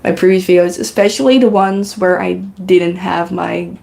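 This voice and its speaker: A woman's voice: on the low side, steady tone, normal speed, creaky, darkish tone. A woman whose first language is Dutch, rambling casually on her knitting live stream and thanking viewers in the chat, recorded on a good microphone. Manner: rambling, chatty, reads and thanks chat comments. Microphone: good podcasting microphone.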